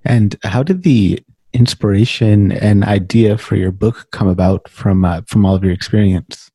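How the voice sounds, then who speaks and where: A man's voice: fairly low pitch, moderate pace, mellow, husky, dry recording. A man hosting a podcast interview, reading out a formal guest introduction and asking friendly questions. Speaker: podcast host.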